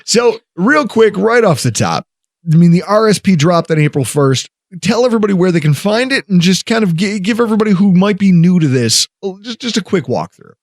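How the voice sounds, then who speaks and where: Man, podcast host, high lively voice, eager, dry close sound. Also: fairly quick, dry recording. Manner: enthusiastic, laughs easily. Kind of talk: casual podcast chat.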